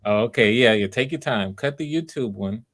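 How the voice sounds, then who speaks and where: A man's voice: mid-range voice, moderate pace, a bit bright. A man hosting a live audition stream, talking casually in a rambling, conversational way. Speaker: audition host.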